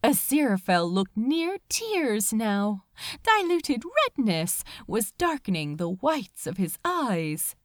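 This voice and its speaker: A woman's voice: a little high, very animated, slow, dry recording, studio quality. A woman reading a story aloud as an audiobook narrator, solemn and emotive in a dramatic scripted style.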